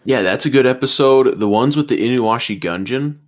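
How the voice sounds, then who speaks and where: A man's voice: medium pitch, a little fast. A man in his twenties chatting on a podcast as co-host, eager and often repeating his first words. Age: 20s. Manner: enthusiastic, repeats words at starts of sentences. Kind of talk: casual chat.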